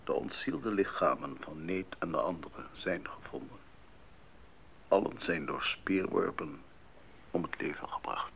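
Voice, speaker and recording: An actor playing a grave, sorrowful doctor in a radio drama, speaking in a solemn, hushed way. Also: male voice, deep voice, a little slow, gravelly, heavily acted. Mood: grief-stricken, subdued. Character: grave, sorrowful doctor breaking news of deaths. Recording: dull old recording with hiss.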